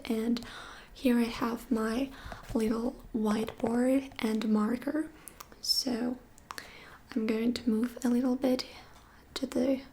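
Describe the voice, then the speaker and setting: A woman whispers a gentle language lesson right into a close microphone, her voice sitting a little high. She takes her time and says each phrase again and again. The delivery stays hushed and unhurried throughout. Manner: soft whisper, slow repetitions. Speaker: language teacher.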